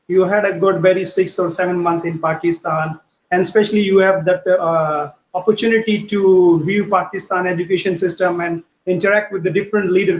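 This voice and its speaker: A man hosting a live online interview, speaking haltingly with frequent restarts. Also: high voice. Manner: halting, many restarts and fillers. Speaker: interview host. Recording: echoey, dull, lightly noisy call audio.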